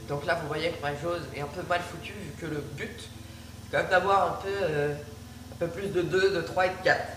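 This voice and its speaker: A man's voice: fairly high pitch, steady tone, normal speed, distant mic, noisy background. A man with a cold, hesitantly talking through a card game with lots of fillers and restarts. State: has a cold. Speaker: gaming video creator. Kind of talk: casual gameplay commentary. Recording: echoey room, off-mic, music behind. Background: background music.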